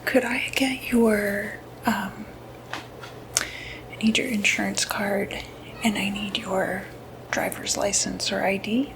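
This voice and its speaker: Whispering woman, slow ASMR clinic roleplay. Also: medium pitch, flat delivery, background noise. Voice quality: breathy, whispered. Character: soft-spoken clinic receptionist checking in a patient.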